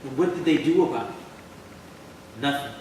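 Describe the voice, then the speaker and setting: A man's voice: mid-range voice, measured pace, darkish tone, lightly acted. A man playing a heated young street graffiti artist in a staged reading, with slangy, stumbling, emphatic speech, recorded from afar in a hall. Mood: bitter, defiant. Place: theater stage at a live reading. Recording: echoey hall from far, faint noise.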